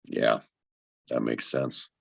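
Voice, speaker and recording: A man's voice: extremely low pitch, flat delivery, slow pace, vocal fry, a bit bright, exaggerated voice. A man playing a sincere, slightly awkward young expectant father in a dramatic script table read, heard through a dull, lo-fi microphone. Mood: sincere, understanding.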